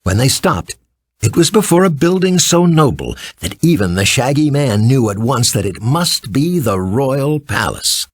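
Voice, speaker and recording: A man reading a scripted children's story as an expressive, theatrical narrator. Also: medium pitch, a little slow, slightly rough, dry recording, studio quality.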